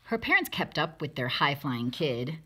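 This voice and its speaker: A woman's voice: sits low, big pitch swings, normal speed, fairly bright tone, a little hiss or hum. A woman reading a rhyming children's picture book aloud, expressively, with dramatic emphasis. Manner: dramatic emphasis, acting out lines. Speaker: storybook reader.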